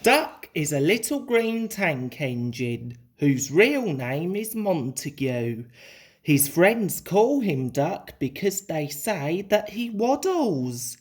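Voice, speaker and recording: A woman reading a children's storybook aloud in an expressive, deliberate way. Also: sits low. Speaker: storybook reader.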